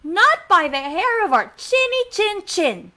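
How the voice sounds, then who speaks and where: Woman as a high, defiant little pig. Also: big pitch swings, unhurried, pushed and bright, exaggerated voice.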